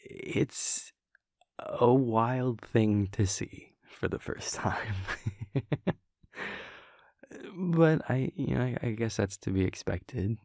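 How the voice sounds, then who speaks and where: Man voicing a vampire prince boyfriend, affectionate, playful, unhurried drawl, creaky voice, close dry sound, intimate roleplay audio. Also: mid-range voice, no echo. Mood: relaxed, fond. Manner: halting, chuckling, relaxed drawl.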